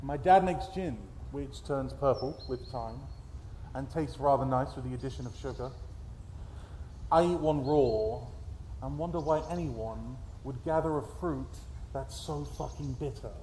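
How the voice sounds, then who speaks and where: A British man performs his own spoken-word poems at a live event, with a theatrical, deliberate storytelling delivery and dramatic emphasis. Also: mid-range voice, warm tone. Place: live at a festival event in a room. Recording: roomy, echoey live event, background noise.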